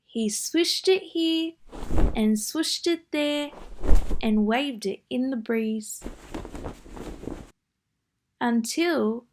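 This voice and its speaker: A high-pitched woman narrates a rhyming picture book for children. She takes her time over each phrase, with a deliberate sing-song lilt. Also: slight background noise. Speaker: children's story reader. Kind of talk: read-aloud storybook narration.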